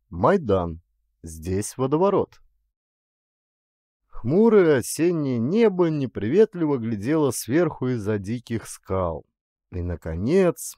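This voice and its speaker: A man narrating a literary story as an actor would, in an expressive, dramatic storytelling manner. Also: mid-range voice, dry recording, studio quality.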